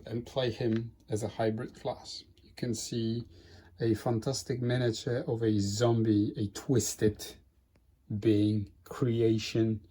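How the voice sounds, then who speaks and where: A man whose first language is Polish, hosting a board game playthrough in casual, halting commentary. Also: mid-range voice, mellow. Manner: halting, frequent restarts and fillers. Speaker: board game channel host.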